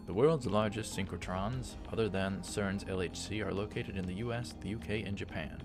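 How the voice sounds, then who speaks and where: A man's voice: sits low, moderate pace, music in the background. A man hosting a let's play, reading a visual novel's narration aloud and adding brief asides. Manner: stumbles over lines and corrects himself.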